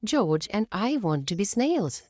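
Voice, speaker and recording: A playful little girl pig from a picture book, played by a woman. She is curious and excitable, with a swooping pitch and an unhurried pace. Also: dry recording, studio quality. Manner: excitable, giggly.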